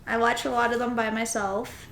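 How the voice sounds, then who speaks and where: A young woman from the southern United States co-hosts a casual, comedic podcast. Her voice sits fairly high. The recording is roomy, with a faint layer of background noise. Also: flat delivery, normal speed, fairly bright tone. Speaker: podcast co-host.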